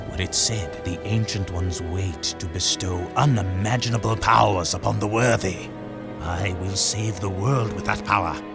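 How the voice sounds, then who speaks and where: An actor playing a pompous, zealous older count, a self-righteous video game villain with a theatrical, condescending delivery. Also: male voice, lowish voice, creaky.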